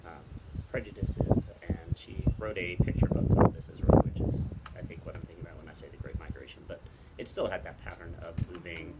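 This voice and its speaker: A man casually recommending books outdoors, halting and hesitant as he pauses to think. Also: deep voice, lo-fi sound. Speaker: book recommender, teacher. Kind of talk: casual book recommendation chat. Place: outdoors in the wind. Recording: very loud wind noise, clicks, outdoor video.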